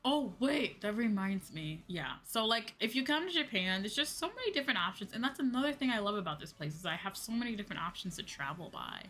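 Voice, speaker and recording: A woman's voice: medium pitch, animated, fast, hardly any pauses, fairly bright tone. An American woman chatting casually on a livestream in an informal, slangy, rambling way. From United States. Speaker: VTuber streamer, host. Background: background music.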